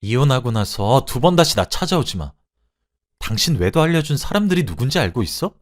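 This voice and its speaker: A man acting a betrayed middle-aged wife who makes bitter, sharp accusations in a dramatized story. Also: on the low side, expressive, fairly quick, lightly acted. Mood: furious outburst.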